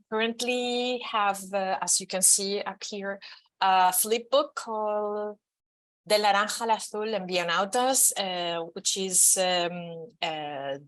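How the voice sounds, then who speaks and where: Bright-voiced woman with a Spanish accent. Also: medium pitch, takes it slowly. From Spain. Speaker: panelist, writer and translator. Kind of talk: unscripted panel introduction.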